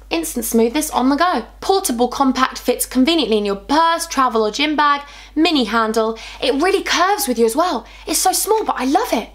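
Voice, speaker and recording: A young woman, chatting bubbly and gushing in a casual beauty haul vlog, her voice a little worn. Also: sits high. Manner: bubbly, gushing, animated with hands. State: slightly losing her voice. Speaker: beauty vlogger. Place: small indoor room with sofa.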